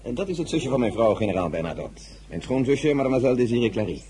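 An actor playing an eager, self-important, politically scheming brother-in-law in a Dutch period radio drama. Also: male voice, medium pitch, big pitch swings, fast talker, warm tone, natural voice. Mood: formal, sociable.

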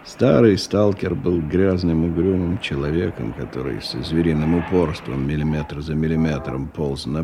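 An actor playing a weary, disillusioned middle-aged man thinking aloud in a radio drama, with halting, ruminative delivery. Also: very deep voice, creaky, a little mellow, full character voice. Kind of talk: radio drama interior monologue. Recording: 1990 radio recording with background noise.

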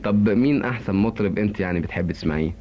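A man with an Egyptian accent hosting a radio interview, asking brisk questions and laughing along. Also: fairly low pitch, a little hiss or hum. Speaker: radio host. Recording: dull old archive radio recording.

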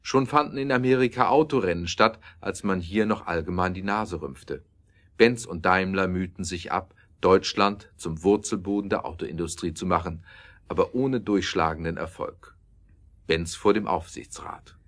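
A man narrating a scripted audio drama in a measured storytelling manner. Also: sits low, fairly bright tone.

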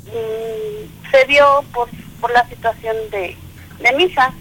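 A woman's voice: high-pitched, big pitch swings, takes it slowly, creaky, fairly bright tone, faint music behind, low-quality recording. A middle-aged woman from Mexico City with a Mexican accent, telling her story as a guest on a call-in interview, chatty with lots of fillers and laughter. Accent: Mexican Spanish. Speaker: small business owner, interview guest. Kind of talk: casual phone interview. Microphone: telephone call.

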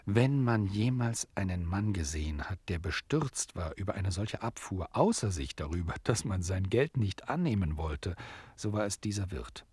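Man, literary narrator, deep, slightly rough tone, dry close sound, scripted radio drama narration. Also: expressive, moderate pace, a little mellow, no echo.

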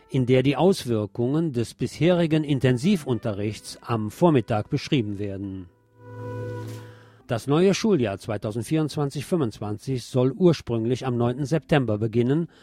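A man's voice: mid-range voice, warm tone. A man presenting scripted radio news as a narrator, reading reports in an orderly way. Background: music under the voice.

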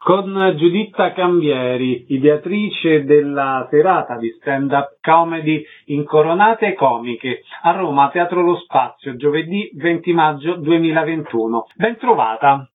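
A man's voice: a little high, measured pace, hardly any pauses, narrow, tinny audio, soft background music. A man hosting a radio show, asking brief, friendly questions and introducing guests. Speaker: radio host. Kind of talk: radio interview.

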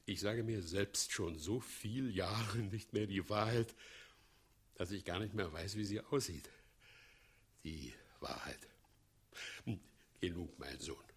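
A man playing a scheming, fawning, half-mad old mayor in a 1960s German radio play, theatrical and erratic, swinging between whispers and shouts. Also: low voice, takes it slowly, long pauses, slightly rough, heavily acted. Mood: sly, musing.

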